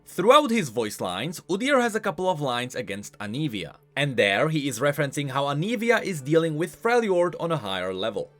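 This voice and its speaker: Animated, higher-pitched man explaining game lore. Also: brisk, hardly any pauses. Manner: animated, emphatic. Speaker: host of a game lore channel. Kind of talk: explainer commentary on video game voice lines. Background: music.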